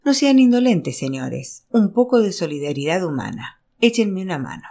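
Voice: mid-range voice, sing-song, normal speed, warm tone. A storyteller performs a tiny, self-important man of the arts in an audiobook novel. He is grave and humorless, declaiming every phrase with ceremonious, theatrical courtesy. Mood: stern, haughty. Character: tiny pompous Bolivian male artist, solemn, humorless, grandiloquent.

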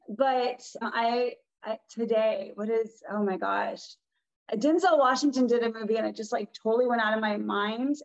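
A woman with a raspy voice, chatting as a guest in an interview, rambling and restarting her sentences. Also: fairly high pitch, muffled recording. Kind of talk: casual interview talk.

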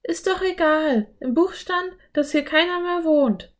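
A woman reading a children's story, voicing a lively, eager young girl who speaks in a high, excited voice. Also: measured pace.

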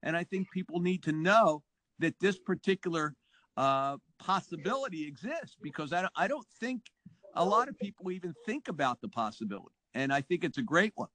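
A man's voice: sits high, expressive, brisk, a bit bright. A man hosting a podcast chat, enthusiastic and full of praise for his guest. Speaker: podcast host. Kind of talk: casual interview chat.

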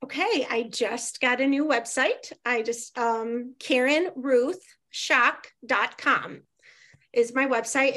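Chatty, expressive high-voiced woman guest. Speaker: podcast guest, author. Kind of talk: casual podcast conversation.

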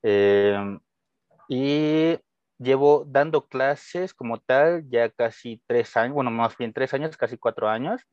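A man's voice: medium pitch, takes it slowly, a little mellow, no room sound. A young Mexican man with a Mexican Spanish accent, a guest teacher answering casually and friendly, with hesitations and restarts. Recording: dull lo-fi dry sound.

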